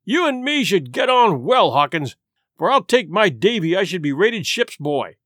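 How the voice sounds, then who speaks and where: A man's voice: high-pitched, sing-song, moderate pace, a bit bright, dry recording, clean studio sound, full character voice. A man voicing a hearty, jovial old male sea cook in a storybook reading, full of salty sailor slang.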